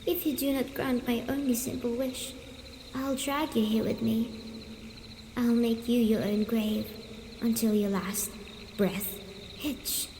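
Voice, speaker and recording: A woman voicing a menacing female ghost who speaks with deliberate, commanding menace in an ASMR horror tale. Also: a little high, steady tone, dark tone, reverberant, in a large hall, slight background noise. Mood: ominous, demanding. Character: menacing ghost of a grown woman, pleading and threatening.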